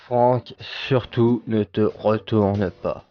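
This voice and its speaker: A man playing an exasperated, self-assured police inspector in a comedic audio drama, with a weary, deadpan delivery. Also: fairly low pitch, slow pace, highs cut off. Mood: exasperated, bossy. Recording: music under the voice.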